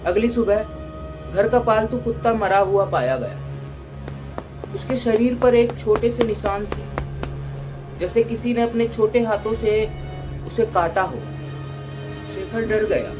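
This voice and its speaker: A man narrating scripted horror stories as a voice-over in a slow, dramatic way. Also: high voice, rough recording. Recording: voice-over with loud music bed.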